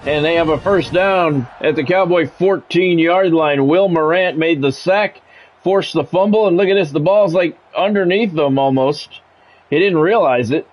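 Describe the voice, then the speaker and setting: A man's voice: on the high side, normal speed, muffled recording. A man streaming a sports game, mixing radio-style play-by-play with casual chat.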